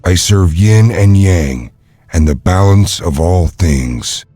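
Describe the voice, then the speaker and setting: Enigmatic calm monk warrior: deep, deliberate, dry sound, formal phrasing for a game cutscene. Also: male voice, no echo, faint music behind, heavily acted. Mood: serene, cryptic. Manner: measured, formal. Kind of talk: dramatic video game acting.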